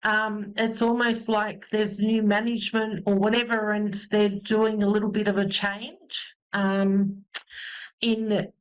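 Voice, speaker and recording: A woman's voice: mid-range voice, little pitch movement, slow pace, smooth, poor audio. Chatty woman with a head cold. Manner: rambling, many ums and restarts. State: has a cold, snuffly. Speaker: psychic medium host. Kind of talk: live call-in reading.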